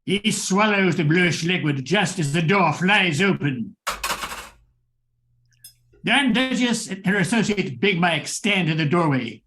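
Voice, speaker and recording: A man's voice: high voice, animated, unhurried, pushed and bright, a little hiss or hum. A man reading scripted narration for a radio drama, setting the scene.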